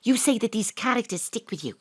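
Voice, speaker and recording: A woman narrating as a private detective in a radio play, with a brisk, wry, deadpan manner. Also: fairly high pitch, breathy edge, dry recording, clean studio sound. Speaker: narrator and detective Astrid Price. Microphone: home studio mic.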